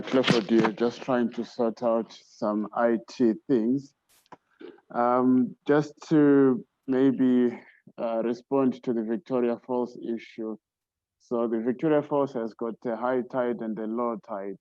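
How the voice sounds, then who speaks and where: Call participant: man, mid-pitched voice, hesitant, through dull, dry video-call audio. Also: darkish tone, no echo. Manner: hesitant with fillers. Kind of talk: casual video call talk.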